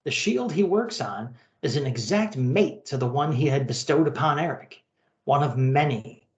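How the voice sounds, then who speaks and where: A man's voice: medium pitch, animated, normal speed, reverberant. A man co-hosting a comic-book podcast, narrating and commenting. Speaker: podcast co-host.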